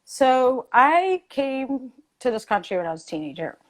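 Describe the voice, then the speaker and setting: A woman's voice: high voice, big pitch swings, normal speed, rough recording. A woman arguing passionately in a heated debate, insistent and repeating her points for emphasis. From born in Addis Ababa, Ethiopia. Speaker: debate guest, refugee advocate. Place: lives in New York City.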